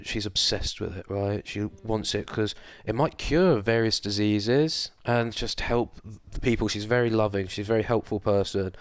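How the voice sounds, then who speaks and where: A man's voice: lowish voice, fairly even intonation, moderate pace, few pauses, no room sound. A man co-hosting a film podcast, chatting casually with wry, sarcastic humour and some swearing. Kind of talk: casual chat, recapping a film plot.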